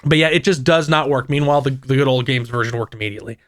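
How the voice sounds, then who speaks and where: A man co-hosting a casual gaming podcast, talking in a rambling way with frequent restarts and quick asides. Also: medium pitch, a bit bright, no echo. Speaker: podcast co-host.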